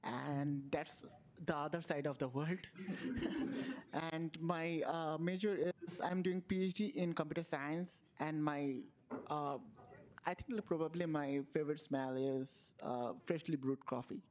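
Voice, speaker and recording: A young man from Bangladesh, a doctoral student, introducing himself with hesitant pauses and fillers. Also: fairly high pitch, steady tone, a little mellow, a little hiss or hum.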